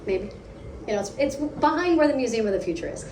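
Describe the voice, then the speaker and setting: Brief: American woman presenting at a meetup, fairly high voice, lively and chatty, echoey hall, distant mic. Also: quick pace, slight background noise. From United States. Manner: lively, conversational, asks the audience questions. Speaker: product lead giving a meetup talk. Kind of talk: live conference talk. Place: event hall.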